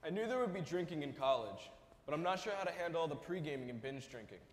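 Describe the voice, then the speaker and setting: A man's voice: on the high side, lively intonation, fast, close to an everyday voice. A young man playing a worried college student owning up in a staged monologue. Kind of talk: staged readers theater monologue. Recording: echoey hall.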